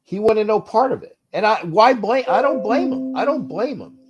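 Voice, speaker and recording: A man's voice: sits high, expressive, moderate pace, slightly rough, highs cut off. A man hosting a sports talk livestream, stuffy and nasal from congestion, ranting bluntly and reading out chat messages. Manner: frustrated, blunt, repeats himself, reads chat aloud. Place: cold indoor room.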